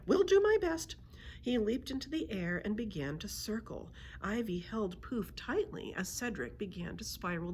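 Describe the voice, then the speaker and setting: A woman reads a children's story aloud as a librarian would. Her voice sits a little low and moves with lively, expressive intonation. Faint noise sits behind her.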